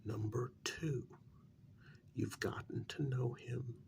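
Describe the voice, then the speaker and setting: A man doing a soft-spoken ASMR role-play as a frank, patient adviser to a cursed vampire, speaking in a measured, whispery way with a gravelly fry. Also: mid-range voice, fairly even intonation, a little hiss or hum. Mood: coaxing, firm. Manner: measured, deliberate, explanatory.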